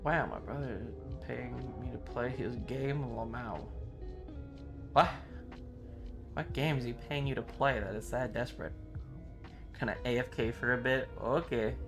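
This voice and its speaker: A man's voice: mid-range voice, measured pace, long pauses. Guy streaming a game, chatting with chat, slangy and sweary, bit of music behind him. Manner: casual slangy banter, swearing. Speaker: livestreamer.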